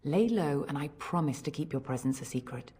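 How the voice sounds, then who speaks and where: A haughty, guarded revered mother for a fantasy game. Her voice is deep for a woman, soft-toned and husky, with formal phrasing that rises and falls widely. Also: lively intonation, brisk. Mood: troubled, guarded. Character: stern, guarded female head priest of a chantry. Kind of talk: fantasy video game acting. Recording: faint music behind the voice.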